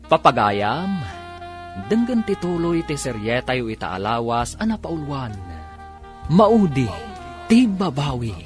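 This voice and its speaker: A man's voice: fairly high pitch, sing-song, slow pace, pauses often. A man announcing and narrating a radio drama in an emphatic, dramatic scripted style, drawing out the titles. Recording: music behind the voice.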